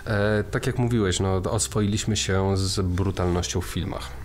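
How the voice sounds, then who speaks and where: Man, low voice, halting film talk with repeated words, faint background noise. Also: fairly even intonation. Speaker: co-host of a film discussion show. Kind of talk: casual film discussion.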